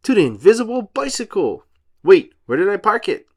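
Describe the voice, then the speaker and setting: A man voicing a cheerful, eager young sea sponge who plays superhero, with earnest, excitable exclamations. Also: sits high, brisk, pitched above the performer's own voice, exaggerated voice. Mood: heroic, excited.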